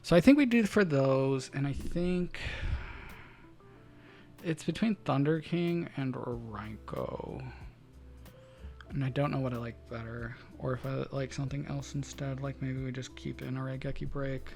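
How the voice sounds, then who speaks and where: A man streaming a card game, thinking through his plays out loud to his chat and breaking into loud excited outbursts. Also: mid-range voice, measured pace, vocal fry, faint music behind. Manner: muses over choices, bursts into loud excited laughs. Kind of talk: thinking aloud while playing, talking to chat.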